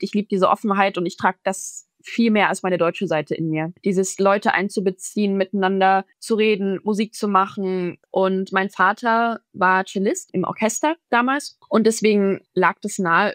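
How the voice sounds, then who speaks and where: A woman's voice: mid-range voice, dry recording. Young woman, chatty musician guest, dry studio voice. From Germany. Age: young adult. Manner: chatty, lots of fillers and English slang. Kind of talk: casual interview chat.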